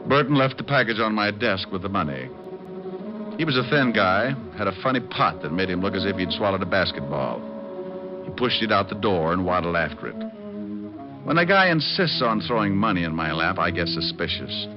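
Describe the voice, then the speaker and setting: Low-voiced wisecracking radio private eye. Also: male voice, brisk. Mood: wry narration. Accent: American. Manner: glib, deadpan wisecracks. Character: wisecracking, cocky male private detective, flirty and unhurried. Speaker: private detective. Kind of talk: 1940s American radio detective drama acting. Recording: dull vintage radio recording with music.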